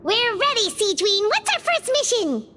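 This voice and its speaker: A woman voicing a small, excitable fairy girl companion in a video game, with a squeaky childlike voice. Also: measured pace, slight background noise, exaggerated voice. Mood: eager.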